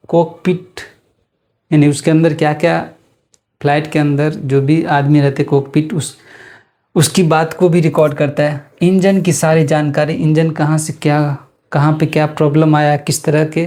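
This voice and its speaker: A man's voice: fairly high pitch, dark tone. A man with an Indian accent gives an informal explanatory lesson as a teacher, repeating and rephrasing his points for students.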